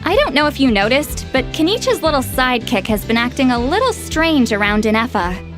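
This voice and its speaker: A haughty young female scholar from a video game. Her voice sits fairly high and she lays out her knowledge with crisp, articulate confidence. Music plays behind her. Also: big pitch swings, brisk. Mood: confident, explanatory. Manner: articulate, self-assured, explanatory. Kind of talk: video game dialogue, expository storytelling.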